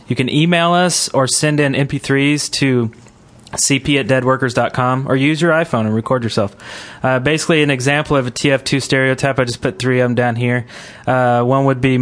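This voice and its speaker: Casual male podcast host, halting delivery. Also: mid-range voice. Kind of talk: casual gaming podcast chat.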